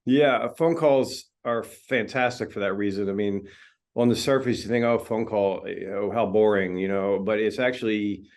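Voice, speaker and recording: A man's voice: fairly low pitch, moderate pace. A male film editor talking casually in an interview, full of fillers and restarts. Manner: relaxed, chatty, many fillers and restarts. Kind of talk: interview conversation.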